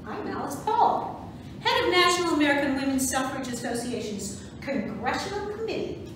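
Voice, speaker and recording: A woman playing a proud, brisk suffragist parade organizer in an amateur reader's theatre monologue. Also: high-pitched, very animated. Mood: proud, informative. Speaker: volunteer docent performer. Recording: very echoey, distant, noisy room recording.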